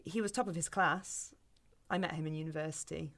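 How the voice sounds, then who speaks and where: A woman's voice: low voice, steady tone, fairly quick. A woman with a British accent acting a wary, bereaved first date in an audio drama, dry, sarcastic and probing. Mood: wistful, awkward. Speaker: actor in a sci-fi audio drama. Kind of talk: naturalistic audio drama dialogue.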